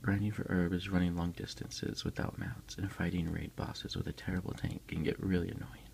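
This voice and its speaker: A man speaking as an ASMR host, soft-spoken and unhurried, in a casual style. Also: low-pitched, level tone, gravelly, a little hiss or hum. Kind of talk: whispered ASMR unboxing, reading aloud and chatting.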